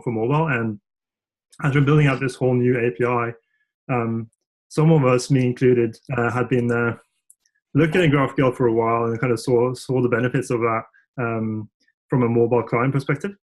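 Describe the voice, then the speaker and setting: A man from Norway talks as a guest on a tech podcast. His pitch sits at an ordinary male level, and his answers come in a relaxed, thoughtful flow broken by frequent ums and restarts. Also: rough recording. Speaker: engineering director, guest. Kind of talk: podcast interview.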